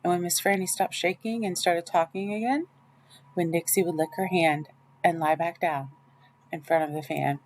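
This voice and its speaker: Lower-pitched woman reading a children's story. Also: fairly even intonation, normal speed, a little mellow, slight background noise, rough recording. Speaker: audiobook reader. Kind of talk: children's novel read aloud in first person.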